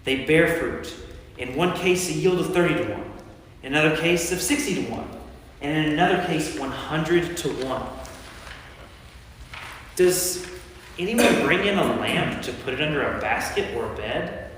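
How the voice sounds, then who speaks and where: A man playing an authoritative teacher of parables in a staged scripture reading, speaking deliberately in a church. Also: a little high, lively intonation, a bit bright. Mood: earnest teaching. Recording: echoey church hall, faint background noise.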